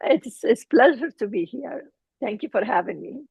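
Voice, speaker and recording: A middle-aged woman with a Lebanese accent, telling her personal story as an interview guest, halting as she searches for words. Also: on the high side, expressive, gravelly, a little mellow, husky, dry recording, poor audio.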